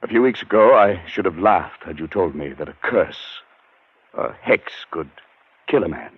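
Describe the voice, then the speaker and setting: An actor playing a learned, sceptical scientist who is haunted, in 1940s radio drama style. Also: male voice, deep voice, animated, normal speed, breathy edge, no room sound. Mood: grave, haunted. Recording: dull lo-fi vintage radio recording.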